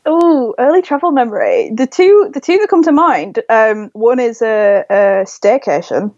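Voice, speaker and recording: A woman's voice: on the high side, animated, normal speed, darkish tone, no echo. A woman in her twenties with a Yorkshire accent chats as a podcast guest, gushing with enthusiasm and scattering ums and likes through her answers. Her voice comes through dry and a little dull, like a lo-fi remote recording. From Leeds, England. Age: 20s. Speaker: guest, blogger. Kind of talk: casual interview chat.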